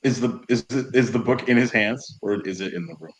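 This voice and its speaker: A man's voice: medium pitch, fairly quick, poor audio. A man playing a tabletop role-playing game, speaking in and out of character in an easygoing, rambling way. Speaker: player.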